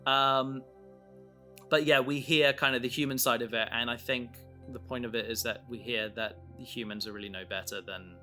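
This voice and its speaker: A man in a casual podcast discussion, explaining his creative work in a halting way, thinking aloud. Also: mid-range voice, fairly even intonation, bright, forceful tone, soft background music. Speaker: audio drama creator.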